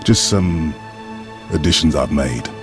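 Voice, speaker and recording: Gentle deep-voiced veteran soldier. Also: male voice, slow, over music. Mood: respectful, subdued. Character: big gentle male veteran soldier, loyal. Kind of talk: video game cinematic acting.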